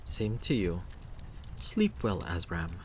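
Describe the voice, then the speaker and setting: A man's voice: low-pitched, wildly swooping pitch, slow pace, very noisy, exaggerated voice. A man playing a cheeky, chatty young traveler in a story game, talking in a playful, teasing, casual way. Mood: friendly.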